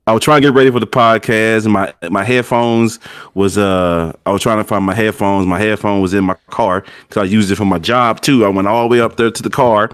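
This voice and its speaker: Male co-host, low-pitched, relaxed and rambling, speaking through a gaming headset. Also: no echo. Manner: laid-back, rambling. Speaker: stream co-host. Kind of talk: casual storytelling chat.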